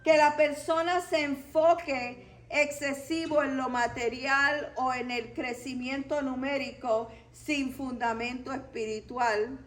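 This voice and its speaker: High-pitched, slow-paced Puerto Rican woman teaching. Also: fairly bright tone, rough recording. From Puerto Rico. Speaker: church Bible study teacher. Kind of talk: Bible study teaching to a congregation.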